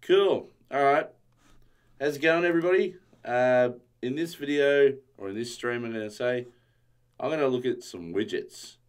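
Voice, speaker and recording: A man streaming a casual coding tutorial, thinking aloud and reading documentation, his voice a little strained from yelling. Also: medium pitch, normal speed, smooth.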